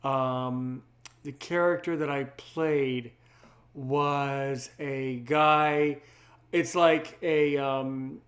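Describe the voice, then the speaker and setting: Higher-voiced man hosting, repetitive halting speech. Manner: repeats himself, halting. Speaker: podcast host. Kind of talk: casual interview chat.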